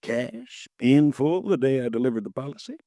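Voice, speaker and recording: A folksy, easily riled insurance agent in his fifties in an old radio drama. His pitch swings widely as he talks. The sound is dry and close. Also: sing-song, normal speed, warm tone, no echo. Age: 50s. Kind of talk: 1950s radio drama acting. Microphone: close studio mic, dry.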